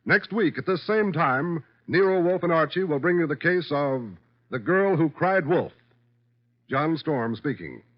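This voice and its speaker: A man's voice: fairly high pitch, animated, a little slow. A man with an American accent announcing formally in the style of old-time radio, reading credits and messages. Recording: dull vintage radio broadcast recording. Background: music.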